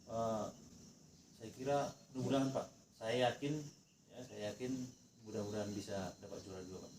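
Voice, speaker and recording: A man's voice: on the low side, flat delivery, moderate pace, a little mellow. A male teacher with a North Sumatran Indonesian accent coaches a colleague supportively, often repeating his encouraging affirmations. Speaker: teacher acting as peer coach. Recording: distant echoey recording, insect noise.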